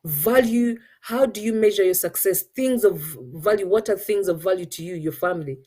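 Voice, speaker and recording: A woman's voice: medium pitch, moderate pace, mellow, husky. A middle-aged woman with a Ugandan accent gives an earnest motivational talk as host, switching between English and Luganda. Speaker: show host.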